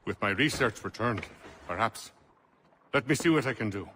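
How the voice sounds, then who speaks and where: An actor playing a learned gentleman in a video game, speaking politely, formally and deliberately. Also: on the low side, animated, a little hiss or hum. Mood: courteous. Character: courteous, learned gentleman scholar. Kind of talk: video game cutscene acting.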